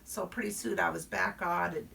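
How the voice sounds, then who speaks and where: A woman's voice: on the low side, fairly even intonation, normal speed, gravelly, bright, forceful tone, recorded from a distance, exaggerated voice. A woman storyteller voicing a chatty little first-grade girl who confides in her listeners. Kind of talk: performed storytelling.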